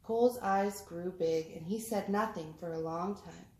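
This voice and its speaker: A woman reading a children's story aloud as a tutor, with expressive, deliberate phrasing. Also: on the low side. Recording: echoey room, clear background noise, clicks.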